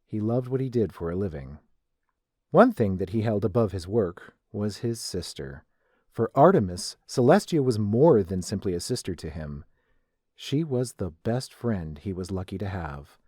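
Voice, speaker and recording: Casting: male narrator for scripted fiction, mellow tone, animated intonation. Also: lowish voice, moderate pace, dry recording, clean studio sound.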